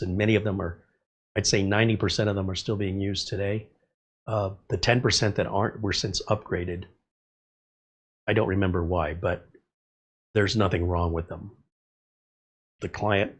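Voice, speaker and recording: A man's voice: low-pitched, level tone, a little fast, husky. A middle-aged man hosting a casual livestream, a little congested, chatting in a rambling way and reading viewer comments aloud. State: slightly congested. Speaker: livestream host.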